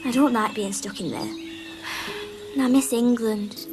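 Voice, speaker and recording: Very high girlish voice, earnest, plaintive, spirited, cartoon film, music underneath. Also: animated, measured pace. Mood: wistful. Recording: music behind the voice.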